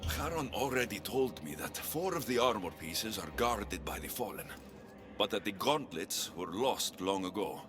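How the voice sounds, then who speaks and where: Music behind, man playing gruffly blunt warrior, mid-pitched, very varied intonation, sardonic, distrustful, game acting. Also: expressive, moderate pace, natural voice. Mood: blunt, wary. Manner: curt, sardonic, challenging. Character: blunt, distrustful male Greek mercenary hero. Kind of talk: video game cutscene acting.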